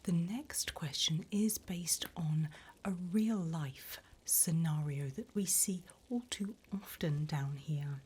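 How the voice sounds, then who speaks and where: Slow whispering demon interviewer played by a woman. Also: sits low, a little mellow, exaggerated voice. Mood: sly, businesslike. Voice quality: breathy whisper. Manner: slow, deliberate, mock-formal. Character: polite, devilish female demon job interviewer in hell. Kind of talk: whispered ASMR roleplay.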